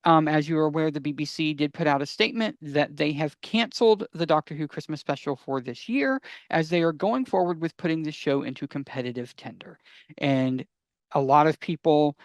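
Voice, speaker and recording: A man's voice: on the high side, brisk, no room sound. A man co-presenting a podcast in casual explanatory chat, wry and rambling, repeating words for emphasis.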